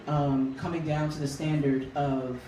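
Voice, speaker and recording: A man with a high voice, a guest singer talking casually in a radio interview. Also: unhurried. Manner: relaxed, chatty, laughs easily. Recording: roomy, distant mic, faint noise.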